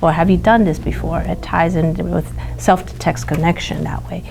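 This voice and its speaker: A woman speaking as a teacher in an interview, explaining her classroom practice haltingly as she feels for words. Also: on the low side, steady tone, gravelly, music in the background.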